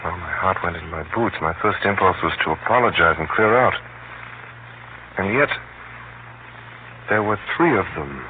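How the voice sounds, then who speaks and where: An actor playing an adventurous gentleman hero who tells his own tale with deliberate suspense, in 1930s radio drama style. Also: sits low, lively intonation. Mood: brooding, tense. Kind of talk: 1930s radio acting, first-person narration. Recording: noisy, tinny 1930s radio broadcast.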